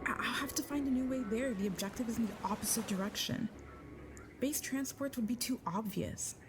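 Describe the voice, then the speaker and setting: A woman's voice: on the high side, fairly quick, over music, lightly acted. A woman playing a guarded, bitter secret agent in an audio drama, terse and sardonic. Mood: hurried, secretive. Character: guarded, bitter, curt female covert agent.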